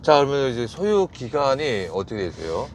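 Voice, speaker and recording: A man hosting a car owner interview, asking short questions and nodding along with quick replies. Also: mid-range voice, very animated, slight background noise. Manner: friendly prompting questions, short backchannels. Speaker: interviewer host. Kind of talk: casual interview.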